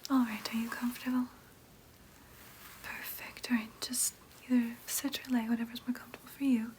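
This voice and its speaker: A woman voicing a gentle, businesslike vampire nurse in an ASMR roleplay, in a breathy whisper with a soothing clinical manner. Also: on the high side, level tone, fairly quick, a little hiss or hum, natural voice. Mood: calm, reassuring. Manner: soothing, clinical, hesitant asides.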